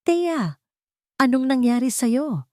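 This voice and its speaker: A woman's voice: high voice, sing-song, slow pace, mellow, no room sound, clean studio sound, voice pushed up, exaggerated voice. A woman voicing a concerned, practical woman pharmacist and loyal friend in an audio drama. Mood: startled concern.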